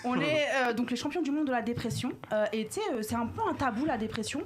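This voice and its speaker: Slangy female columnist, higher voice, noisy recording. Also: fast talker. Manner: casual slangy banter. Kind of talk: comic chronicle on a talk show.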